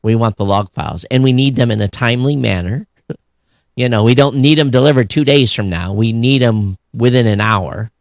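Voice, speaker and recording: A man's voice: medium pitch, moderate pace, vocal fry, no echo, clean studio sound. A man hosting a podcast in casual, emphatic discussion, often voicing both sides of an imagined exchange. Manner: emphatic, acts out imagined dialogue. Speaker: podcast host.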